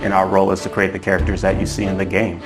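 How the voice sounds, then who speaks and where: Male creative lead, documentary interview. Very deep, fast delivery over a music bed. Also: little pitch movement. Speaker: lead character concept artist.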